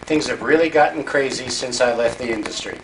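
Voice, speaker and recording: A man's voice: medium pitch, a little fast. A man reading out joke birthday messages to guests at a home party. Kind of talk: comic reading aloud at a party. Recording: noisy amateur home video.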